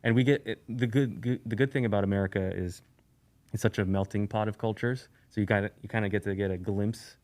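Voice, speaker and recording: A man from Eastern Europe, a podcast guest, talking in a relaxed, rambling way with lots of fillers and restarts. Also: lowish voice, fast talker. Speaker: guest, sneaker YouTuber. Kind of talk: casual podcast conversation.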